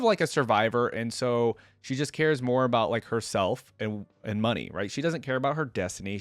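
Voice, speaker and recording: A man's voice: medium pitch, no room sound. A man giving informal, conversational commentary as host of a reaction video. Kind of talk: casual commentary reacting to game voice lines.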